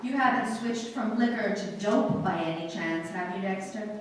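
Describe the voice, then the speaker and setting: A woman playing a sharp-tongued, imperious young society bride in a live radio drama. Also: medium pitch, normal speed, lightly acted. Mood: cutting. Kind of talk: live old-time radio play acting. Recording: echoey hall, distant mic, faint noise.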